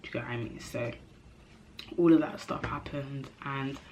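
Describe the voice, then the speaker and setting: Young girl vlogging, kinda congested, chatty and expressive, bit of hiss in the background. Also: very deep voice, moderate pace. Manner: chatty, animated, self-interrupting, slangy. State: stuffy nose from a cold. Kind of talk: casual chat to camera.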